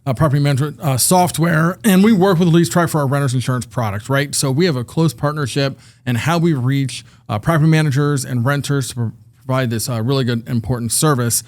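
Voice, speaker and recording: A man's voice: mid-range voice, fast, darkish tone. A man hosting a podcast interview in a studio, talking in a friendly, conversational way with some fillers. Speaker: podcast host. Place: recording studio.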